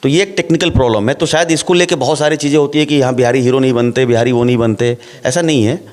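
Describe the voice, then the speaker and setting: A middle-aged man, an actor, talking in a relaxed interview and laying out his points with rhetorical questions. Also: medium pitch, fast talker. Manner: explains at length with rhetorical questions.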